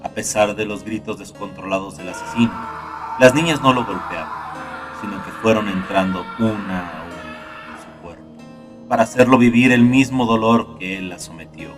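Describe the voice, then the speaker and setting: A man reading a scripted horror story as narrator, in a dark, gloomy, dramatic manner. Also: fairly low pitch, moderate pace, far from the mic. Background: soft guitar music.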